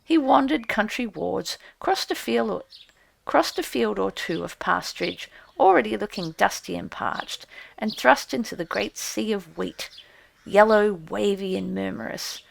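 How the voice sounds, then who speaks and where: Very creaky, expressive woman storyteller. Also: mid-range voice, unhurried. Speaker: audiobook narrator.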